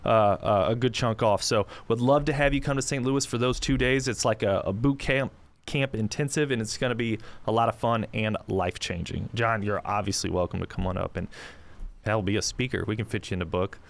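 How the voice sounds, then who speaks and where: A man's voice: lowish voice, brisk, gravelly. Upbeat joking male podcast host. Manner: upbeat, joking, lots of fillers and restarts. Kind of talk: casual interview chat.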